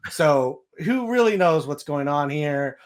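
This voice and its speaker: A man's voice: fairly high pitch, expressive, unhurried. A man hosting a sports podcast, giving casual, matter-of-fact baseball analysis.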